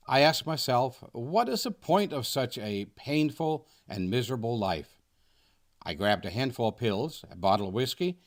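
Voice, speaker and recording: A man, a nonprofit founder reading a scripted first-person presentation in an earnest, measured way. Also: lowish voice. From Denmark. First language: Danish. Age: 60s. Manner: earnest, measured read-aloud delivery.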